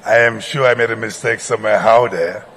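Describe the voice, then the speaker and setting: A man's voice: fairly low pitch, steady tone, fairly quick, fairly bright tone, a little hiss or hum. A man from Senegal moderating a conference session, formal and courteous, improvising through schedule changes. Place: large conference hall. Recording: long hall reverb.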